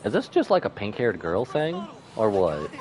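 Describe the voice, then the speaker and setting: A woman's voice: very deep voice, wildly swooping pitch, normal speed, darkish tone, some chatter behind, slight background noise. A woman livestreaming a game with casual, wry, teasing reaction commentary. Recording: game music behind the voice. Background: video game music.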